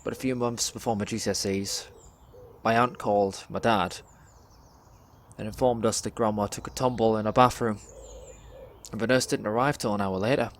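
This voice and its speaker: A young man performs a dramatic spoken word monologue in a measured, deliberate storytelling way, pausing between phrases. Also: on the low side, fairly even intonation, a little hiss or hum. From England. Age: young adult.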